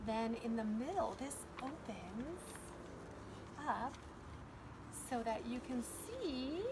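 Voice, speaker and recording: High-voiced woman preschool teacher reading aloud. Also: expressive, takes it slowly, noisy background. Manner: simple, instructive explaining to young children. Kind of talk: children's read-aloud and simple lesson.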